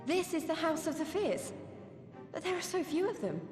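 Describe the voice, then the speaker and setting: A woman's voice: very high-pitched, big pitch swings, moderate pace. An actress playing a friendly, hopeful young female freedom fighter in a video game, speaking in an informal, earnest way. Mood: subdued, concerned. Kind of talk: scripted game voice acting. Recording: echoey hall reverb under clear music.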